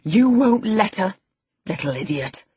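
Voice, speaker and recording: A woman's voice: deep voice, normal speed, husky, dry recording. A woman voicing an indignant, loyal elderly woman servant who scolds with emphatic feeling, in an audiobook. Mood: stern.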